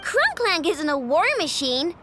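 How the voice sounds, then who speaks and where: A feisty little girl inventor in an anime-style video game. The voice is extremely high and bouncy, childlike and full of stubborn excitement, with some ambience behind it. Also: unhurried. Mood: defiant. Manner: childlike, exuberant. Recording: clear background ambience noise.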